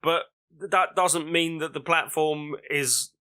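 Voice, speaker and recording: A man's voice: a little high, fairly even intonation, a little slow, pushed and bright, a little hiss or hum. A man co-hosting a gaming podcast, making earnest points in casual chat. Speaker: podcast co-host.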